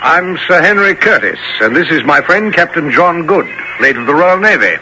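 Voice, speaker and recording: An actor playing an earnest, determined English gentleman in a 1970s radio adventure, speaking formally and with emphasis. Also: fairly high pitch, expressive, normal speed, close to an everyday voice. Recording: dull, noisy 1970s radio recording.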